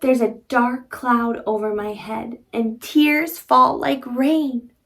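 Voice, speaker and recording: Woman, thirties, fairly high voice, very slow pace, expressive children's read-aloud, simple teacherly phrasing.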